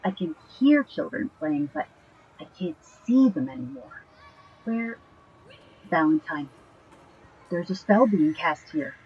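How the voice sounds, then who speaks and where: A woman acting a curious, apologetic and gently teasing girlfriend in an audio drama, with a husky, breathy voice in a dull recording. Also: medium pitch, animated, slow pace, long pauses, full character voice. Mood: alarmed.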